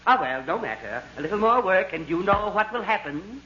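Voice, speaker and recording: A man's voice: high-pitched, big pitch swings, brisk, pushed and bright, heavily acted. A man acting a pompous, patronising doctor in an old-time radio comedy, blustering and fussing over his patient. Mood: briskly cheerful. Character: pompous, fussy male doctor, cheerfully brutal masseur. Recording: dull noisy vintage radio recording.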